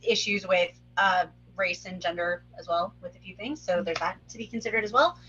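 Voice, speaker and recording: A woman's voice: on the low side, fairly quick, background noise. A woman chatting casually as a cast member, with a dull lo-fi sound. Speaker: actor in a table read. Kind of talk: casual chat.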